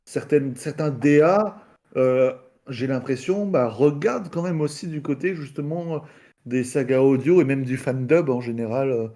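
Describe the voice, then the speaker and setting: A man's voice: medium pitch, moderate pace, dark tone. A man raising questions in a casual debate, speaking hesitantly. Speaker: debate participant.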